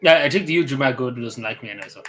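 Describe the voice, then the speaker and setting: An Irish man rattles along at a quick clip, answering his live chat with a loose, rambling, easygoing delivery. His speech is full of "you know" and restarts, his pitch sits mid-range, and faint music plays quietly behind him. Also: expressive. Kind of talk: casual livestream chat.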